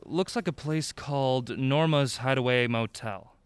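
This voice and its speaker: An actor playing a wry, jittery male traveler in a comic radio drama, excitable and anxious. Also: mid-range voice, a little slow, clean tone, dry recording, clean studio sound, lightly acted. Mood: uneasy.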